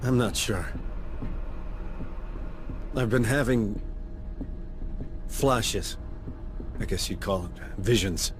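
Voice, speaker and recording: An actor playing a weary, sardonic middle-aged marine sergeant in a video game, with a gruff, wry, blunt manner. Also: male voice, fairly low pitch, takes it slowly, pauses often. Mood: brooding, worried. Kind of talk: cinematic video game acting.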